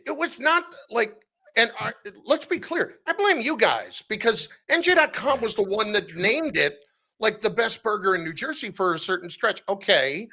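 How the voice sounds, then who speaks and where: Bright, forceful-voiced man chatting about sports. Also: high-pitched. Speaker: interview guest, sports radio play-by-play announcer. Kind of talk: casual sports podcast interview.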